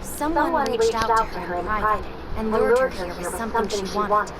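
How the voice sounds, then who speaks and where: A woman playing an earnest, steady young adventurer in a video game, speaking plainly with a busy background behind her. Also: mid-range voice, moderate pace, a loud crowd, close to an everyday voice. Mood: earnest, attentive. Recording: distant voice under loud background hubbub.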